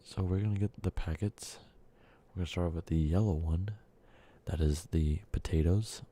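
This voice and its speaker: A man with a cold softly speaking and whispering in a rambling ASMR video, sounding congested and short of breath. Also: low voice, moderate pace. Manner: soft speaking drifting into whispers, rambling with fillers. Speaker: ASMR creator. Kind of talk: soft-spoken ASMR candy-making ramble.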